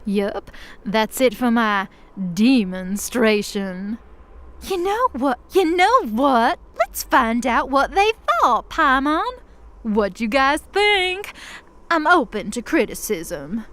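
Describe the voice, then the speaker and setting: A woman's voice: fairly high pitch, very animated, takes it slowly, a bit bright, exaggerated voice. A woman playing an embittered, defiant demon of hell in an audio drama, speaking with passion and slangy sarcasm. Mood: cocky, taunting. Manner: impassioned, sarcastic, casual slangy speech. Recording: prominent music under the voice.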